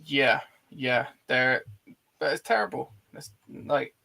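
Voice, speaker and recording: Creaky-voiced British man, blunt and informal. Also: medium pitch, animated, slow, sharp tone, slight background noise. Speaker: host of an online film show. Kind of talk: casual chat, reading out viewer comments.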